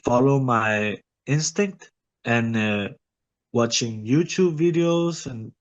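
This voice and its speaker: Sick man giving short halting answers. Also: medium pitch, muffled recording. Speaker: guest. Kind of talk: casual interview answers.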